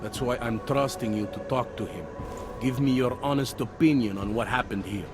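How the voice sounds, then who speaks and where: An actor playing a weary, self-deprecating older cop near retirement in a video game, talking in a wry, conversational way. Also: male voice, mid-range voice, normal speed, background noise, lightly acted. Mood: uneasy, confiding. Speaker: police officer. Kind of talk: video game cutscene dialogue. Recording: background music under dialogue.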